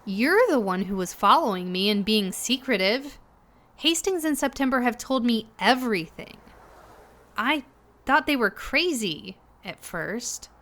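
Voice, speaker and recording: Casting: defiant young female passenger, fairly high voice, wary and confrontational, audio drama. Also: animated, measured pace, a bit bright. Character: weary, distrustful, defiant young woman passenger.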